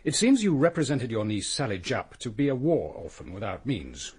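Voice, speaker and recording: A husky police inspector in a radio drama. He is formal, courteous and relentless, probing with a widely moving pitch while staying controlled. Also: big pitch swings, normal speed, darkish tone.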